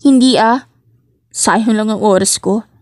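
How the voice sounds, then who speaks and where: A woman voicing an excitable, defensive young working woman in a romance audiobook. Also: medium pitch, a little slow, dry recording, clean studio sound, close to an everyday voice. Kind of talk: audiobook character dialogue.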